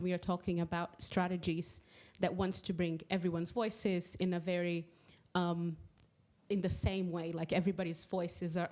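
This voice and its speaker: A woman's voice: on the low side, darkish tone. A woman in the audience asking the panel a question, hesitant and apologetic, searching for words. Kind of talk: question from the floor.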